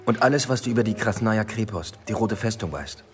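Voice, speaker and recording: An actor playing an ambitious police inspector in a radio drama, terse, matter-of-fact and probing. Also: male voice, lowish voice, fast, in a large hall, natural voice. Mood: pressing, persuasive. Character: driven, ambitious male police detective inspector. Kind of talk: naturalistic German radio drama dialogue. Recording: faint music bed behind the voice.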